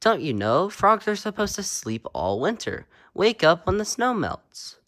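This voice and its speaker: A man's voice: sits high, sing-song, a little slow, a bit bright, pitched above the performer's own voice, full character voice. A man voicing a watchful frog mother calling to her son in a storybook read-aloud. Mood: calling, reminding. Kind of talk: animated picture-book read-aloud.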